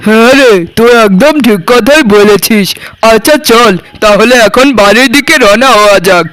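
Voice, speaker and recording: Very high-pitched doting farmer brother, played by a man. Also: a bit bright, clear, resonant tone, no echo, low-quality recording. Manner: earnest, affectionate. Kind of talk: village moral-story cartoon acting.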